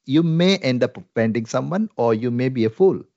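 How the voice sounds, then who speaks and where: A man's voice: medium pitch, expressive, moderate pace, gravelly, mellow, dry recording, dull audio. Reflective Indian-accented man, dry sound. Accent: Indian English. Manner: earnest, reflective, frequent fillers. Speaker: Salvation Army minister. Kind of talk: conversational interview.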